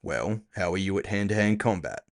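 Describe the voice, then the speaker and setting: A man playing a gruff, impatient cyberpunk bounty hunter, terse and sardonic, in an audio drama. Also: low-pitched, animated, fairly quick. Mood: businesslike.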